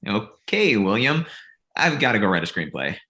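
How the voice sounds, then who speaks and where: Man playing wry filmmaker with lively pitch. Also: normal speed. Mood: dismissive. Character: Ryan, glib, self-deprecating aspiring filmmaker. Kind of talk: table read comedy acting.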